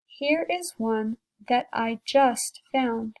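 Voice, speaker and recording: A woman's voice: on the high side, very slow, a little mellow. A woman voicing a helpful male raccoon in a children's storybook, speaking in a friendly, offering way.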